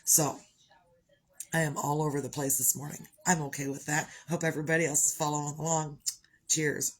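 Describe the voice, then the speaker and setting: A woman with a congested voice who keeps clearing her throat, talking on a live video in a chatty, upbeat, rambling way about gratitude and her morning. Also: low voice, level tone, brisk, rough recording. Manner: chatty, upbeat, wanders off topic. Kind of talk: rambling motivational chat.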